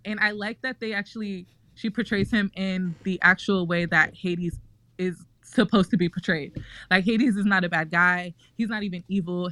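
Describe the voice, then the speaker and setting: A woman's voice: medium pitch, a bit bright, dry recording. A young woman talking casually in a group podcast, chatty and full of fillers and restarts.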